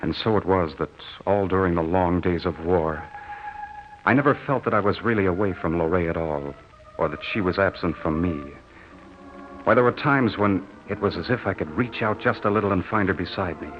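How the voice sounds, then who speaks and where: A man's voice: sits low, moderate pace, natural voice. An actor playing an earnest, lovelorn young farm-raised soldier, telling his story in a folksy, solemn way in 1940s radio drama style. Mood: intense, haunted. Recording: muffled old radio recording with music. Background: dramatic music.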